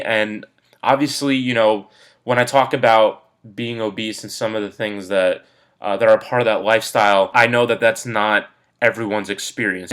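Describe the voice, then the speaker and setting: A man in his early twenties, a little congested from a cold, talking candidly in a relaxed personal vlog with lots of fillers. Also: lowish voice. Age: 20s. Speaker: vlogger.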